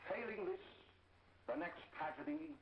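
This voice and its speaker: An actor playing a grave lecturer in a 1930s film, warning parents in a solemn, preachy address. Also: male voice, high-pitched, lively intonation, a little slow, crowd noise. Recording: echoey, dull, hissy 1930s film soundtrack.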